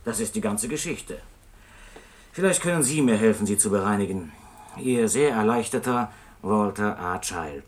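A man's voice: on the low side, expressive, normal speed, lightly acted. A man playing a polite, wronged customer earnestly dictating a complaint letter in a German radio play. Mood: relieved, earnest.

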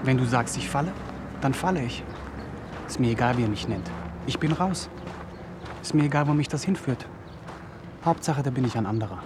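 A man's voice: medium pitch, animated, brisk, pauses often, noisy background, natural voice. An actor playing a weary, defiant young homeless male hustler in a radio drama, brooding and poetic. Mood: brooding, anguished. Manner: brooding, poetic, terse in dialogue.

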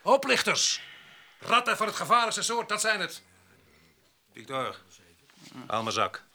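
An actor playing a hot-headed, quarrelsome farm labourer in a radio drama, blustering and sarcastic, with a sharp voice. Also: male voice, high voice, big pitch swings, unhurried, exaggerated voice. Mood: accusing. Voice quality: sharp, forceful.

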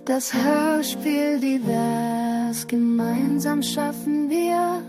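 High, very slow woman over guitar. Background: guitar music.

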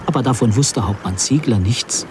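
An actor plays a sober male chronicler narrating a radio drama. His medium-pitched voice is measured, literary and factual. Clear ambient noise runs behind him. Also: lively intonation, lightly acted. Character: sober male chronicler recounting the end of the war. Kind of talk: scripted narration in a radio play.

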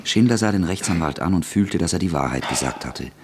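A man narrating a literary radio play as a scripted storyteller, with a husky voice. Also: deep voice, expressive, brisk, crowd noise.

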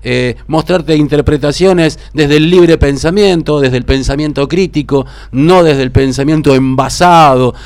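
A man's voice: a little high, measured pace, hardly any pauses, a little mellow. A man in his fifties with an Argentine Rioplatense accent, co-hosting a community radio show in a reflective, rambling way with many fillers. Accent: Argentine Rioplatense Spanish. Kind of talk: casual radio sign-off.

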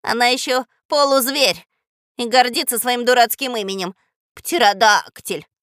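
A woman's voice: medium pitch, very animated, normal speed, fairly bright tone, no echo, clean studio sound. A woman voicing a grumpy male talking pet bird in an audio storybook, scornful and muttering. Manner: muttering, sneering. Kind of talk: audio storybook character acting.

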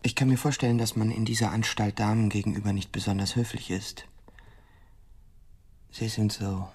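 An actor playing an agitated, self-absorbed male patient in an institution, halting and formal, pleading in a radio drama. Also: on the low side, level tone, a little mellow, husky. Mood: courteous, flustered.

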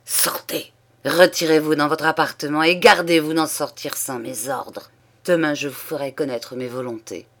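Lady reading a stiff old count, sarcastic, quick to blow up, audiobook style. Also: fairly low pitch, close to an everyday voice. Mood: choked rage. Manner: haughty and ironic, flaring into shouts. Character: proud, hot-tempered old male aristocrat.

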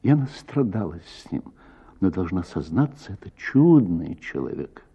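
A man's voice: low voice, sing-song, measured pace, warm tone, slightly rough, exaggerated voice. A man voicing a doting, proud young mother in a read short story. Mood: tearful.

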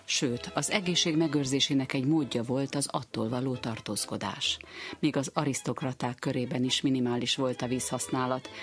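A man narrating a radio documentary from a script. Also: medium pitch.

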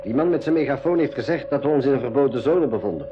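An actor playing an alert, wary crewmate in a radio adventure, speaking earnestly and ominously. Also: male voice, mid-range voice, expressive, quick pace, lightly acted. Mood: wary, reasoning. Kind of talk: 1980s school radio drama acting. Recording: dull, noisy radio play recording.

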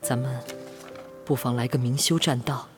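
An actor playing a spirited, proud 1930s young master with old-fashioned, theatrical turns of phrase in a Chinese audio drama. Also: male voice, medium pitch, fairly even intonation, moderate pace, close to an everyday voice. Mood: scheming. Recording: music behind the voice.

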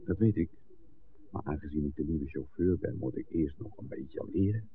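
An actor playing an easygoing, reassuring young-spirited driver in a 1960s Dutch radio play. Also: male voice, a bass voice, moderate pace. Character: easygoing, reassuring man, a newly hired driver. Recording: tinny, noisy old radio recording.

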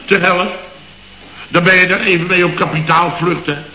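A man's voice: high voice, steady tone, normal speed, sharp tone. An actor playing a blustering, exasperated boss of a building firm, who rants and mockingly mimics his staff in a 1960s radio comedy. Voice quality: sarcastic, ranting, mimics others mockingly. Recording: roomy dull old radio recording with faint noise.

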